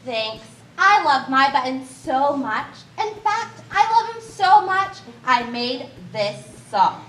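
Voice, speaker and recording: A woman's voice: high voice, sing-song, slow, a bit bright, slight background noise, exaggerated voice. A woman voicing a cheerful, easygoing male cat puppet in a live library puppet show, sing-song and upbeat. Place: large echoey library room. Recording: echoey room, children in audience.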